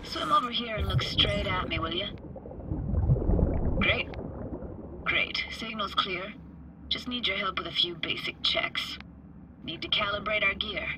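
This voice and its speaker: An actress playing a playful, self-assured young techie and diver in a video game, with a slangy, teasing, laid-back way of talking. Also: female voice, medium pitch, measured pace, long pauses, noisy background, close to an everyday voice. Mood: focused, casual. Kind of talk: cinematic game dialogue.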